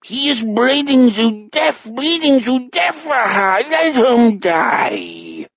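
A man's voice: extremely high pitch, wildly swooping pitch, a bit bright, dry recording, dull audio, voice pushed up, exaggerated voice. A man voicing a gloating, otherworldly predator spirit with a warped pseudo-German accent and a guttural, strained delivery, chanting and drawing out words. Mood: commanding, gloating. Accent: warped, invented pseudo-German. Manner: chanting repetition, drawn-out words. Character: otherworldly predator spirit inside an old killer, shrill, gloating.

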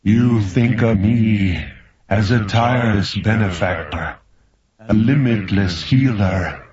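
A man voicing a weary, solemn alien healer with a deep, booming, weighty delivery. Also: highs cut off, a crowd in the background. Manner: slow, grave, weighty delivery.

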